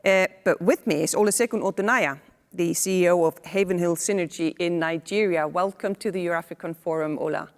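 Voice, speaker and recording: A woman in her forties from Iceland, moderating a panel with relaxed conversational asides and fillers, on a lapel mic in a studio. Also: on the low side, brisk, long reverb tail. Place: indoor studio set.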